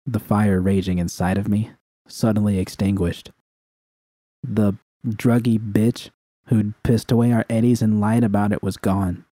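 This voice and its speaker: A man's voice: lowish voice, steady tone, a little slow, warm tone, no echo, poor audio. A man reading dramatic first-person fiction as an audiobook narrator.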